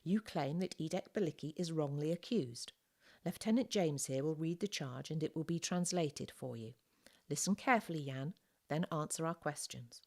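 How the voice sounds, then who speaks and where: A male army captain in a storybook, voiced by a woman reader. He is formal and patient, putting questions in a measured, official manner. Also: low voice, a little mellow. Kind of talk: audiobook character dialogue.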